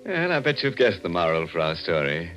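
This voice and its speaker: An actor playing a gleeful, ghoulish horror host with sardonic, sinister delivery in 1940s radio style. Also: male voice, deep voice, big pitch swings, brisk, a bit bright. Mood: macabre glee. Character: ghoulish, gleeful male horror host cracking macabre puns. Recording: vintage radio broadcast with music.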